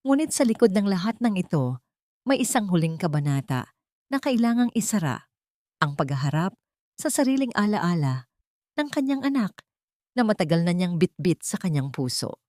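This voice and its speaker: A woman narrating a dramatic story in an earnest, sentimental, measured way. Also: lowish voice, big pitch swings, a little mellow, no echo, studio quality.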